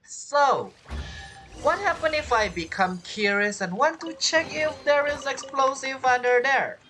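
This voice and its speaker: Let's play streamer, very animated and bright, rambling reactions over game music. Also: sits high, measured pace, rough recording. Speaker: gaming streamer. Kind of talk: casual let's play commentary.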